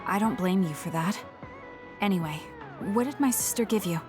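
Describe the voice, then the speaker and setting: A woman's voice: mid-range voice, expressive, normal speed, music in the background, close to an everyday voice. A woman playing a reserved, serious young soldier in an English game dub, speaking in a terse, restrained way. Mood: cool, questioning.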